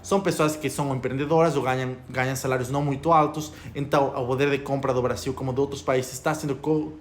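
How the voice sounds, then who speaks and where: A young man from Ecuador with a Spanish accent in Portuguese, a vlogger talking to camera in an opinionated, rambling way. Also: medium pitch. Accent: Spanish-speaking Ecuadorian accent in Portuguese. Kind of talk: casual talk to camera.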